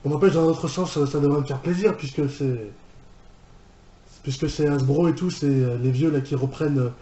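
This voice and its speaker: A man giving casual, unscripted reaction commentary as a video host, haltingly, often repeating and rephrasing himself. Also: a little high, dark tone, muffled recording. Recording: roomy echo, music behind.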